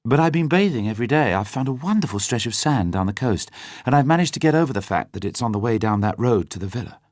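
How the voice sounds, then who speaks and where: Audiobook: a guilty, fragile young woman, hushed and breathy, read by a man. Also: mid-range voice, sing-song, measured pace, no room sound, clean studio sound, voice pushed up. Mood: eager. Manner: soft, breathy, often near a whisper.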